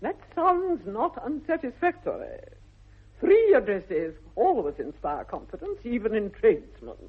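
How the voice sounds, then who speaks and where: Haughty older English lady, upper-class accent, swooping pitch, imperious, radio comedy, thin vintage recording. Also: very animated, unhurried, breathy edge, full character voice. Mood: imperious disapproval. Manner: haughty, declamatory, precisely articulated. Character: imperious, formidable aristocratic English mother and aunt. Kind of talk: 1960s radio comedy acting. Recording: tinny, band-limited vintage radio recording.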